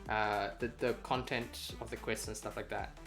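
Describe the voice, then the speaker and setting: A man's voice: on the low side, flat delivery, moderate pace, over music. A man chatting casually and unscripted on a game development livestream, rambling as he thinks aloud and swearing freely.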